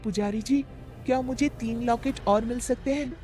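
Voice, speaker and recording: A man's voice: very high voice, fairly even intonation, moderate pace, a little mellow, soft background music, pitched above the performer's own voice. A man voicing a devout, fearful young woman in a cartoon horror story, speaking anxiously. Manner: pleading, anxious.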